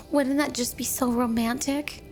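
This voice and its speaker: A woman's voice: high-pitched, fairly even intonation, takes it slowly, faint music behind. A woman voicing a flirty, clingy yandere snow woman spirit in an ASMR roleplay, speaking playfully and coyly to the listener as a doting wife. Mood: sweet, coaxing. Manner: playful, coy, doting, teasing.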